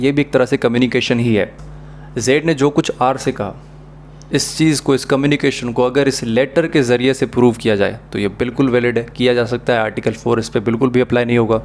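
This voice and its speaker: A man with a Pakistani accent teaches law in a voice of medium pitch. He explains points through examples and addresses students directly, dropping in English phrases. The recording carries a noticeable hum and background noise. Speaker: law lecturer.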